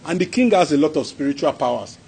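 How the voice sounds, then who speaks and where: Chuckling Yoruba-accented man with raised pitch. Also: expressive, fast, gravelly, a little mellow, a little hiss or hum. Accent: Yoruba-accented Nigerian English. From Nigeria. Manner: chuckles often, good-humoured, conversational, repeats phrases. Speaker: traditional king addressing an audience. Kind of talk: informal speech and Q&A answers. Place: hall with a live audience.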